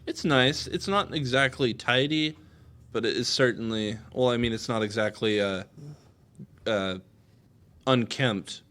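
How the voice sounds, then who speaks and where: A man's voice: medium pitch, unhurried, long pauses, a bit bright. A man co-hosting a podcast, joking and rambling in casual chat. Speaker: podcast co-host.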